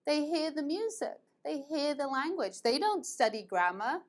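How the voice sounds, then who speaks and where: A woman's voice: sits high, expressive, normal speed. A woman teaching an online English grammar lesson, patiently repeating verb forms. She grew up between the United States and England.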